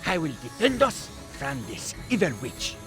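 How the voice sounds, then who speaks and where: An actor voicing a suave, gallant swashbuckling cat with a Spanish accent in a cartoon video game. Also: male voice, a little high, sing-song, moderate pace. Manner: gallant, dramatic. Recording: music under the voice.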